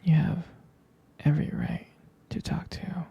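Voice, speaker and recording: Man playing a husky whispering boyfriend, devoted and protective. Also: on the high side, huge pitch swings, dull audio, exaggerated voice. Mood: jealous, earnest. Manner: slow, hesitant, intimate, tender delivery. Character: devoted, jealous, protective boyfriend comforting his sweetheart. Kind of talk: boyfriend roleplay ASMR.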